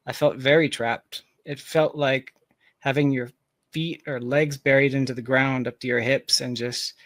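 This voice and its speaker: Man, medium pitch, casual conversation, reflective, informal, thinking out loud, very dry recording. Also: fairly even intonation, moderate pace, dry recording. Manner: philosophical, informal, thinking aloud. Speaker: podcast guest, tech founder.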